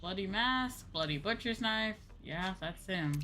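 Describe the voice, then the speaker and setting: A woman giving casual live gameplay commentary as a streamer, reacting out loud and repeating phrases. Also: mid-range voice, lively intonation, a little slow. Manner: repeats phrases, amused reactions, reads lines aloud. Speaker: game streamer.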